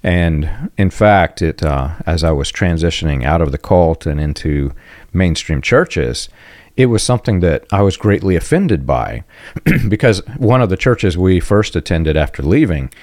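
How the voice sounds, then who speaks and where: A man from the United States hosting a podcast, talking in a reflective, conversational way and often clearing his throat. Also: sits low, expressive, measured pace, darkish tone, no room sound, clean studio sound. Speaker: podcast host.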